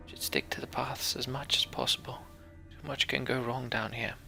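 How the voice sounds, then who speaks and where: A man's voice: mid-range voice, steady tone, moderate pace, creaky, pushed and bright, whispered, faint music behind. A man voicing an anxious, caring woman who leads the clan. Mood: worried.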